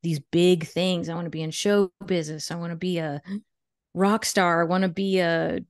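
Woman in her thirties, podcast guest, chatty and laugh-prone, slightly low voice, dry close-mic sound. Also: flat delivery, moderate pace, no room sound. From United States. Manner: chatty, laughs often, many restarts. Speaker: podcast guest, chicken educator. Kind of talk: casual interview storytelling. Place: home room with bookshelves. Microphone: studio mic on video call.

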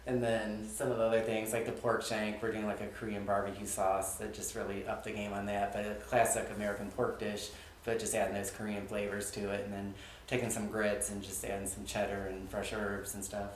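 A man, a restaurant co-owner, answering interview questions in a relaxed, rambling way full of "like". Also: lowish voice, brisk, hardly any pauses, slight background noise. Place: small studio on a couch. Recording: echoey room.

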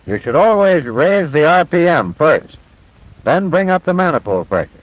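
A man's voice: a little high, big pitch swings, normal speed, dry recording, low-quality recording. A man playing a patient flight instructor, explaining methodically in the style of a 1940s military training film. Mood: patient, instructive. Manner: clear, methodical instructional delivery. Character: instructor pilot, patient, confident, explaining cockpit controls. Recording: vintage 1940s film soundtrack.

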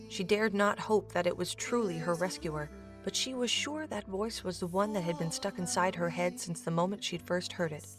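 Low-pitched woman narrating a story. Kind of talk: scripted story narration for an audio drama. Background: music.

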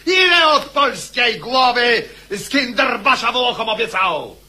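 An actor playing an agitated, bitter soldier in a historical radio drama, forceful and declamatory. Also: male voice, very high voice, expressive, a little slow, husky, full character voice. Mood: bitter, scornful.

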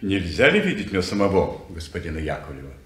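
An actor playing a courteous man of about forty who has come asking to rent a flat, speaking formally in a 1950s radio drama. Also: low voice, fast talker. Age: 40s. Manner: formal, polite. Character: courteous stranger of about forty, seeking lodgings. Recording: echoey old radio studio recording.

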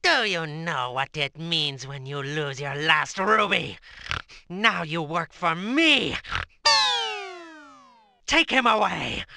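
Gloating cartoon-game trickster, theatrical, taunting, gravelly fry, very animated, noisy audio. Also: female voice, lowish voice, exaggerated voice.

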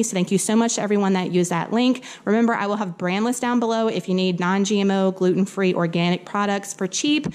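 A young woman from Louisiana with a Southern accent, chatting upbeat in a casual home kitchen vlog. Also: mid-range voice. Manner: chatty and upbeat, lots of "like" and "y'all". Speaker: vlogger. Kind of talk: casual grocery haul vlog.

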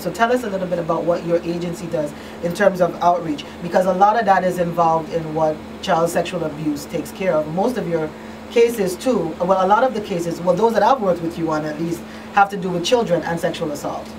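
A middle-aged woman hosting a radio talk show, interviewing guests in a conversational way. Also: fairly low pitch. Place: small radio studio. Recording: distant mic, clear room hum.

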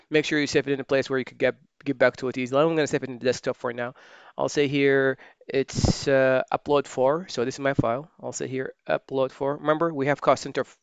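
A man with a smooth, resonant voice talks through a software task step by step, thinking aloud and stopping to fix his own slips. The recording is dry and a little dull, like a desk mic close in a quiet room. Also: mid-range voice, brisk, no room sound. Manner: relaxed, thinking aloud while typing, many fillers and self-corrections. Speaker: software trainer. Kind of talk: screen-recorded software tutorial.

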